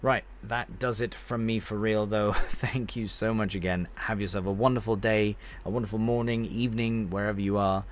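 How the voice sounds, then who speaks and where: A game streamer with a fairly low voice talks with viewers in a loose, rambling way. He answers chat with easygoing, friendly banter. Faint ambient noise sits behind him. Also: fairly even intonation, moderate pace, hardly any pauses.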